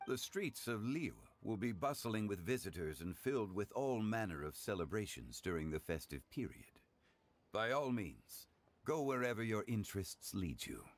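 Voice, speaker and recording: A genial, courtly gentleman from a video game. His lowish voice moves expressively through eloquent, formal phrases, with faint noise in the background. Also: sing-song, moderate pace. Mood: genial, composed.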